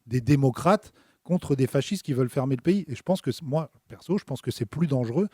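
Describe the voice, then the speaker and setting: A man's voice: mid-range voice, lively intonation, fairly quick, a little mellow, breathy edge, dry recording, studio quality. A man in a political debate, reasoning aloud with many hesitant fillers.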